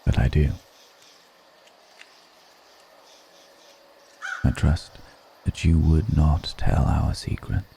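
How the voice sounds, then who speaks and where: Vampire lord for ASMR roleplay, male actor: extremely low, dark and gravelly, seductive, solemn, hushed delivery. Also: little pitch movement, a little hiss or hum, exaggerated voice. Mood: tender, musing. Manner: slow, deliberate, hushed, solemn.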